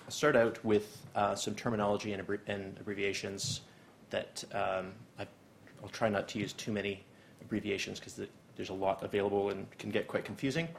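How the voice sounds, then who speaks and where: A young man with a Canadian accent lectures to a seminar, pausing on frequent ums and uhs. His voice is low and heavily gravelly and stays flat in pitch. A faint hiss sits under the recording. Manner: hesitant, many ums and uhs. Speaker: guest lecturer. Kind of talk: academic seminar talk.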